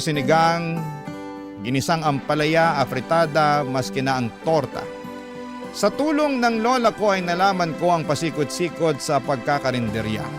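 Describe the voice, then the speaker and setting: A man reading a proud, warm-hearted eatery owner's life story in the first person for a radio drama. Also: on the high side, natural voice.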